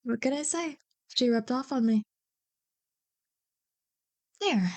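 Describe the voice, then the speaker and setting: A woman acts out a playful young woman chatting to her oldest friend in an ASMR roleplay. Her voice sits fairly high. She banters and teases with animated mock exasperation and a big love of Halloween. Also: slow. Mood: chatty. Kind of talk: ASMR roleplay, one-sided scripted conversation.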